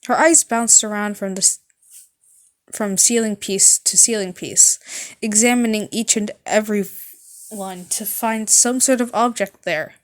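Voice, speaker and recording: A woman narrating fan fiction as an amateur reader, halting at times and correcting her stumbles. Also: medium pitch, steady tone.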